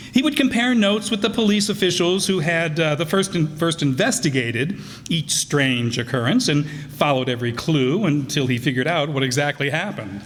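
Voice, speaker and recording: A man's voice: a little high, fairly bright tone. Man hosting in an echoey hall. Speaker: host introducing a radio players' show. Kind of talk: informal spoken introduction to a live audience. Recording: echoey hall, live stage.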